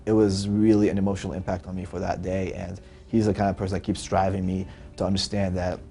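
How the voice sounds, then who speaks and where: A man's voice: low voice, little pitch movement, quick pace, warm tone, soft background music. A young man, a startup founder giving earnest interview answers with frequent fillers. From Lebanon.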